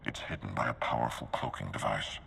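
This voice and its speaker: Very deep, gravelly Jedi master. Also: male voice, steady tone, fairly quick, a bit bright, in a large hall, exaggerated voice. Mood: determined. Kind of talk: video game cutscene acting.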